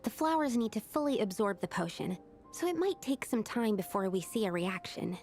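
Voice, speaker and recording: A studious young female alchemist in a video game. She speaks at a middling pitch, earnest and methodical, laying things out precisely. Faint music plays underneath. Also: very animated, normal speed. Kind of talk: scripted video game voice acting.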